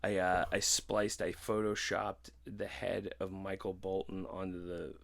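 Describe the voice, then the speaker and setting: A man's voice: low voice, flat delivery, unhurried, creaky, a bit bright. A man hosting a podcast in casual, jokey chat, swearing freely and repeating his punchlines. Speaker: podcast host.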